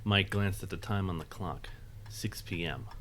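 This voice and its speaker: A low-voiced male narrator tells a scripted story for an audio drama. His delivery is that of a dramatic storyteller, over faint background noise. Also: normal speed, fairly bright tone.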